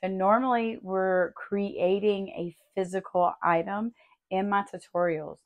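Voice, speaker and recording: A woman hosting a craft tutorial, her voice still a little raspy as she recovers, talking in a friendly, chatty way and explaining each step. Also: medium pitch, fairly even intonation, measured pace. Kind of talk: casual tutorial walkthrough.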